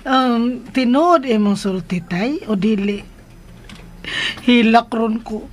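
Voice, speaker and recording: A vain childlike mom, whiny n playful, high slow voice, radio drama. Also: female voice. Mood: childish worry. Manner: childish, whining, drawn out.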